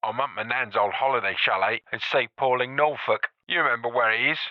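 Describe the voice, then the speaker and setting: A man voicing a frightened young man on the phone, talking fast and swearing with nerves. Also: mid-range voice, no echo, dull audio, lightly acted. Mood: panicked.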